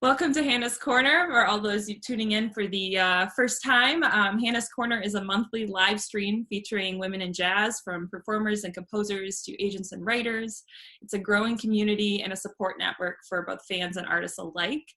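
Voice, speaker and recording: A woman's voice: medium pitch, normal speed, pushed and bright. A woman hosting a monthly livestream, introducing a guest and asking questions with a few ums. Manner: friendly, scripted intro with fillers. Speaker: host.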